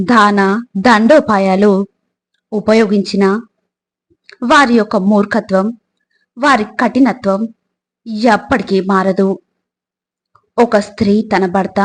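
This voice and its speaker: A woman reading scripted motivational reflections in a measured, instructive way, addressing the listener directly. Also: medium pitch. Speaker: motivational quotes narrator.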